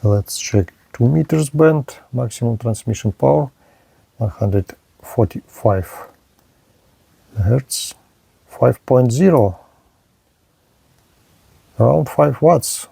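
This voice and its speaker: A man's voice: mid-range voice, lively intonation, slow, creaky, long pauses, mellow, husky, no echo. A man narrating a hands-on radio equipment test as a reviewer, speaking in short clipped phrases. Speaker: product reviewer.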